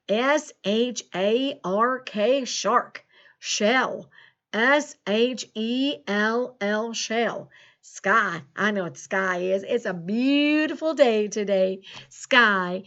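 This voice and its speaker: A folksy, chatty farmer played by a woman leads children through a lesson in a high, playful voice. The delivery is slow and exaggerated, with a country drawl and an easily sidetracked manner. The recording sounds dull and lo-fi. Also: fairly bright tone. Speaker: school teacher leading spelling practice.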